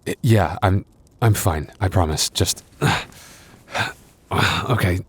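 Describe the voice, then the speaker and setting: A man acts out a werewolf boyfriend in an intimate audio roleplay, hurt and worn out. His voice is very low and he speaks slowly, in halting phrases broken by sighs. He stays tender and reassuring with his partner. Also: breathy edge, heavily acted. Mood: pained, apologetic. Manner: halting, sighing, intimate delivery.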